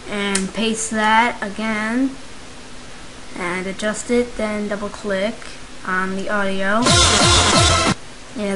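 A speaker giving a casual, unscripted step-by-step software tutorial, recorded at home. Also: mid-range voice, rough recording. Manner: informal, unscripted step-by-step narration. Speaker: tutorial maker. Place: indoors, home computer screen recording. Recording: hissy, clicky home microphone recording.

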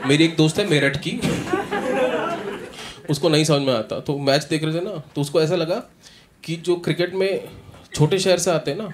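A man in his thirties doing a stand-up comedy set on a club stage, telling animated stories and acting out characters into a handheld mic. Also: fairly high pitch, normal speed. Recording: live club audio with audience noise. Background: audience laughter.

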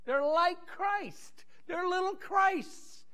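A middle-aged man preaching a sermon on a church stage, animated, pacing with many restarts. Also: very high voice, drawn-out words. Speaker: pastor. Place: church stage in a large dim hall.

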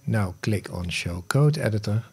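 A man in his fifties narrating a step-by-step software tutorial in short instructional phrases, recorded on a close studio mic. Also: fairly low pitch, animated, moderate pace, gravelly, husky, faint music behind. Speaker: host of smart home tutorial videos. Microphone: close studio mic on a boom arm.

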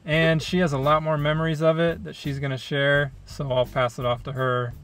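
A man's voice: fairly high pitch, level tone, moderate pace, fairly bright tone, soft background music. A young man co-hosting a food vlog from a parked car, easygoing with dry little jokes. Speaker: food vlogger co-host. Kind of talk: casual food review chat. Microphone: camera on dashboard.

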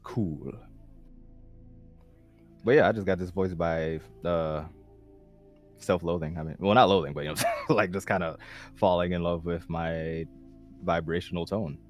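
Deep-voiced laid-back man. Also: steady tone, a little slow, long pauses, soft background music. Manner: laid-back, rambling, laughing. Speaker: class participant. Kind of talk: casual group call chat.

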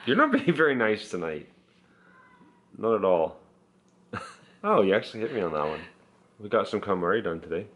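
A man's voice: on the low side, sing-song, moderate pace, pauses often, fairly bright tone. A man in his thirties vlogging about his family day in a casual, upbeat, chatty way, filming himself at arm's length in a van and at home. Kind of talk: casual vlog chat. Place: inside a family van, then a living room. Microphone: camera held at arm's length.

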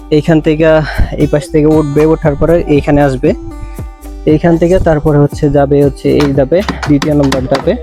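A Bangladeshi-accented man explains things in an informal, instructional way. His voice sits a little high and stays very even in tone. He hesitates and circles back over his phrases, while guitar music plays clearly behind him. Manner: repetitive, hesitant explaining with frequent filler phrases. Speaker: civil engineer presenting a how-to video.

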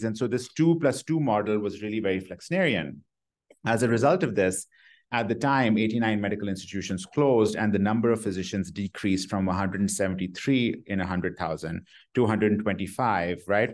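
A middle-aged man giving an academic lecture on a room microphone, talking eagerly in quick bursts and poking fun at himself. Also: fairly low pitch, darkish tone. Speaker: pathology grand rounds speaker. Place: lecture hall. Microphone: room microphone, uneven volume.

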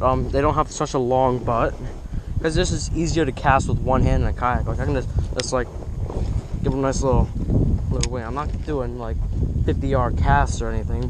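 A teenage boy talking casually while fishing from a kayak, rambling with lots of fillers, recorded on a head-mounted camera outdoors. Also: mid-range voice. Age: teens. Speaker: fishing vlogger. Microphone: head-mounted action camera. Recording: outdoor noise on an action cam.

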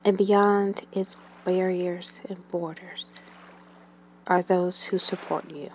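A woman leading a guided meditation in a gentle, soothing manner, with long pauses and breathy phrase endings that trail away. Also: fairly low pitch, steady tone, slight background noise.

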